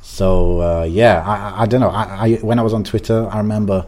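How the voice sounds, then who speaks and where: A man's voice: lowish voice, steady tone, brisk, a little mellow. A man co-hosting a podcast, chatting loosely and giving strong opinions with plenty of fillers. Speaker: podcast co-host. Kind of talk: casual chat.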